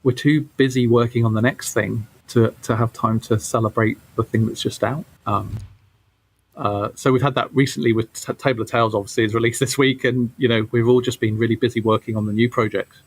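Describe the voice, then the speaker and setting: A man's voice: medium pitch, steady tone, a little fast, husky. Informal male game developer being interviewed. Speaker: game developer and studio director, interview guest. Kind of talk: casual interview conversation.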